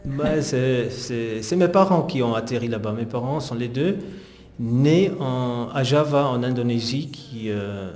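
A man's voice: mid-range voice, measured pace, darkish tone. Hesitant middle-aged man, roomy sound. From Netherlands. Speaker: interviewed physiotherapist. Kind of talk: conversational interview answers recounting personal history.

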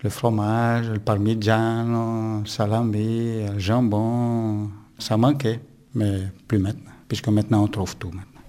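A man's voice: on the low side, level tone, dark tone. Italian-born man in his sixties. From Italy. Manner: halting, plain-spoken, with many fillers. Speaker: interviewee. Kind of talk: casual interview answers.

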